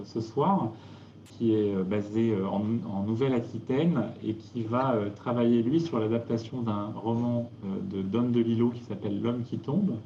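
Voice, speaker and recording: A man presenting a theatre season live, halting with many fillers and restarts. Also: medium pitch, warm tone, a little hiss or hum. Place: live video call. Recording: dull lo-fi roomy video-call audio.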